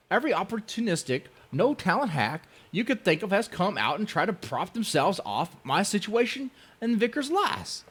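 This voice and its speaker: A man's voice: high-pitched, fairly bright tone, husky, pitched above the performer's own voice, heavily acted. A man voicing an aggrieved, spiteful blogger in a whiny, exaggerated, indignant dramatic reading. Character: aggrieved, spiteful male blogger ranting about his enemies.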